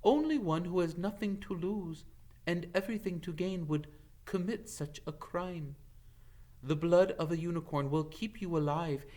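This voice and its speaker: Man voicing a high-pitched, noble, solemn centaur. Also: a little mellow, natural voice. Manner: measured, earnest, formal. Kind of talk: dramatic audiobook character reading.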